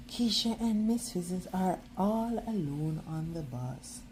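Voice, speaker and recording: A woman reading a children's picture book aloud as a storyteller, expressive and now and then halting over words. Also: mid-range voice, a little hiss or hum.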